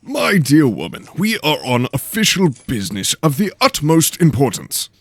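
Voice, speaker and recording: A man's voice: on the high side, wildly swooping pitch, normal speed, dry recording, voice pushed up. A man voicing an eager, pompous lord with a theatrical, self-important manner in a fantasy audio drama. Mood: indignant, pompous. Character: excitable, pompous, eager male lord and mentor.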